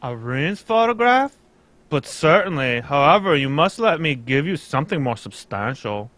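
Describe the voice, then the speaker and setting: A man's voice: a little high, big pitch swings, normal speed, fairly bright tone, dry recording. A man playing a pompous, formal king in an amateur radio drama, speaking with grand emphasis. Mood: gracious. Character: a pompous, anxious, grateful European king. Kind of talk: amateur old-time radio drama reading.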